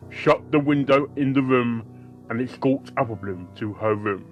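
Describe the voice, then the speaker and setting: A man's voice: medium pitch, a little slow, roomy sound, lo-fi sound, soft background music, pitched above the performer's own voice, lightly acted. A man playing a determined male pony doctor and exorcist, commanding and dramatic, in a story reading. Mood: grim, commanding.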